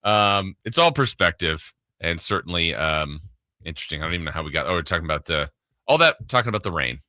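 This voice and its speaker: Guy hosting sports talk radio, really bright forceful voice, rambly and chatty, dry studio sound. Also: low voice, lively intonation, fast, no room sound. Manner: rambling, conversational. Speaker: sports radio show host. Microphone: close studio broadcast mic.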